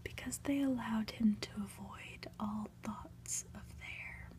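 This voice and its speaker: A woman reading a story aloud for ASMR in a soft, breathy whisper, hushed and deliberate, with pauses. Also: on the high side. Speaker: host reading a book aloud.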